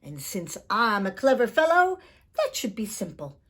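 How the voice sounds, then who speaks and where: Woman playing a cocky young man, very animated. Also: mid-range voice, a little slow, heavily acted. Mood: excited, scheming. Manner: bold, boastful, demanding. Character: cocky, greedy young Irish man seeking treasure.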